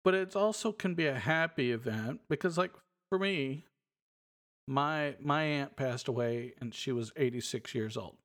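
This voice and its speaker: A man's voice: mid-range voice, measured pace, a bit bright. A man in casual podcast chat, agreeable and full of fillers and restarts. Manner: agreeable, filler-heavy talker.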